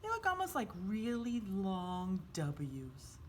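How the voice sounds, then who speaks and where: A woman's voice: medium pitch, huge pitch swings, slow, slight background noise. A woman hosting a children's storytime craft, explaining each step in simple, sing-song, child-directed language.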